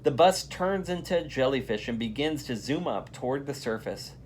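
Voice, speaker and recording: A volunteer reads a children's story in a lively, varied voice of medium pitch. There is faint noise in the background. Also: moderate pace. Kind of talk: animated children's read-aloud.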